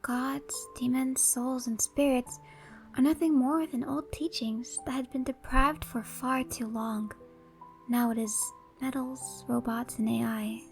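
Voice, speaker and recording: Woman playing a high-voiced, teasing ageless shaman woman. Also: fairly even intonation, measured pace, a little mellow, faint music behind. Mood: mysterious, reflective. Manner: teasing, dramatic. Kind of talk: horror-comedy audio drama acting.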